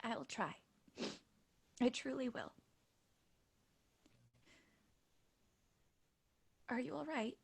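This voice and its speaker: A woman acting a passionate, remorseful teenage girl in an audio play, husky and breathy, earnest and emotional. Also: sits high, lively intonation, a little slow, slight background noise, exaggerated voice. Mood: quiet, humbled.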